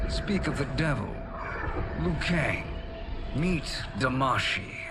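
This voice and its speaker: Very slow, scheming sorcerer. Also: male voice, a little high, heavy background noise. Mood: smug. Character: sly, scheming male sorcerer villain, smooth and mocking. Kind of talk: dramatic video game acting.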